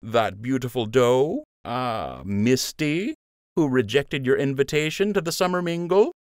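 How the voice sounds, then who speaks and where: A man's voice: medium pitch, expressive, unhurried, a little mellow, no room sound, studio quality, voice pushed up, natural voice. A man voicing a teasing young male rabbit friend who ribs with a smirk. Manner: smirking, playful ribbing.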